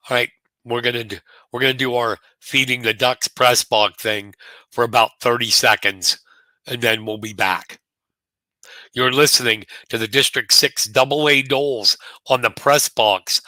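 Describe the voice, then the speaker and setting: This is a hoarse man, doing play-by-play for a live wrestling stream. His voice is very bright and has a forceful edge, and it sounds close and dry. He talks casually while reeling off rankings and weights. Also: medium pitch, no room sound, poor audio. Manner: rapid rundown of rankings, conversational. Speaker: wrestling commentator. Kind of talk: live sports commentary. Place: press box at a sports venue.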